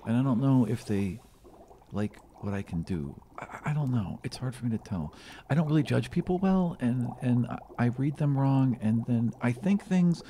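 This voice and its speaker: A man acts out a young potion-brewing student in a tabletop fantasy game. She is eager but insecure, halting and repeating herself as her pitch moves about, with a little background noise. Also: lively intonation, dark tone, natural voice. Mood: hurt, unsure. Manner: halting, repetitive, flustered.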